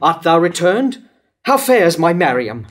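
Older lovestruck king, higher-pitched man reading. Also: sing-song, measured pace. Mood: exultant, longing. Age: 60s. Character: lovestruck king hailing his city and queen. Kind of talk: staged reading of verse tragedy. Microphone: lectern microphone.

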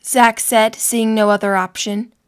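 A woman's voice: fairly high pitch, level tone, a little slow. A woman narrating a scripted story for an audio drama.

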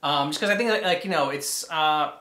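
A man in his thirties chatting casually as a reaction host, with a halting, enthusiastic delivery. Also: a little high, bright, forceful tone. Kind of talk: casual commentary chat. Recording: roomy indoor echo.

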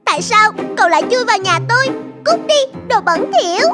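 Snooty little cartoon kitty, super high whiny voice, music playing behind. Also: female voice, lively intonation, brisk. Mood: haughty, scornful. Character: spoiled, haughty young pet cat, childlike. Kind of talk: children's cartoon acting.

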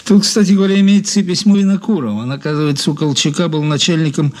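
A man's voice: on the high side, fast, a little mellow, natural voice. An actor playing a helpful, polite, matter-of-fact male colleague presenting case papers in a Soviet radio drama. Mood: businesslike.

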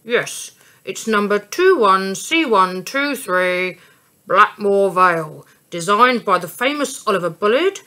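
A woman's voice: fairly low pitch, heavily acted. Woman playing a mysterious station ticket man. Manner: precise, then hushed and whispering. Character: proud, mysterious old-fashioned male ticket man.

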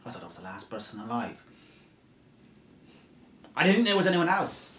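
A man playing a haunted, suspicious lone male survivor in an amateur film scene, speaking in hushed, intense tones that slip into whispers. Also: medium pitch, sing-song, moderate pace, echoey room, a little hiss or hum. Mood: brooding.

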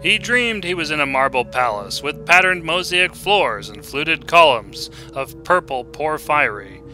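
A man's voice: on the high side, animated, a little slow, sharp tone, faint music behind. A man reading a short story aloud as dramatic scripted narration.

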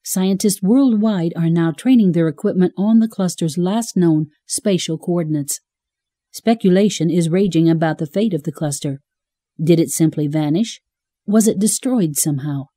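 An actress playing a female newscaster from the future, reading the bulletin in a formal, even manner. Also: on the low side, dry recording, studio quality, close to an everyday voice. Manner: formal, even newsreader delivery. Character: female future news anchor, crisp and formal. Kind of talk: broadcast news reading in a radio drama.

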